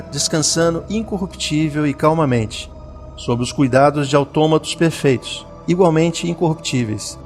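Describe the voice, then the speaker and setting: A man narrating a scripted audio drama in a solemn, literary reading style, with music behind him. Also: a little high.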